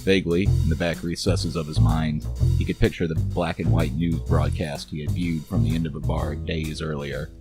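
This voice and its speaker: A man's voice: very deep voice, flat delivery, moderate pace, vocal fry, loud music behind the voice. A man narrating a scripted noir detective story.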